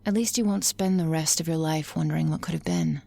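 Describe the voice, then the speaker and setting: A woman's voice: deep voice, sing-song, dark tone, heavily acted. A woman playing a blunt, confident female office boss who talks frankly and wryly in an intimate ASMR roleplay. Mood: earnest. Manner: frank, profane, wry, musing with hesitant pauses. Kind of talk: intimate ASMR roleplay, conversational monologue to listener.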